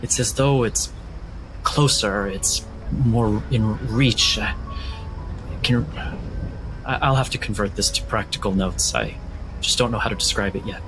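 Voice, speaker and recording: A man playing an earnest, fascinated male scholar who speaks in a thoughtful, halting, articulate way. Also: fairly low pitch, over music, rough recording.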